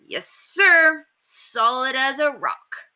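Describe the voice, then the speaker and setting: A proud, brusque schoolboy from a children's storybook, acted by a woman reader. He speaks deliberately and emphatically, with a bright edge and a lively, raised pitch. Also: clear, resonant tone, full character voice. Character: brusque, proud young schoolboy builder who chants nonsense syllables.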